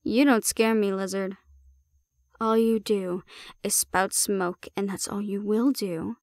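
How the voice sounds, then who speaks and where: A woman's voice: mid-range voice, steady tone, takes it slowly, a little mellow, no room sound, natural voice. A woman plays a bold female handler in an audio drama, recorded dry and close. She is sardonic and blunt, teasing but assertive, and sounds completely unintimidated. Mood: firm, challenging. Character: bold, cocky non-human female handler, sardonic and unafraid. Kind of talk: audio roleplay acting.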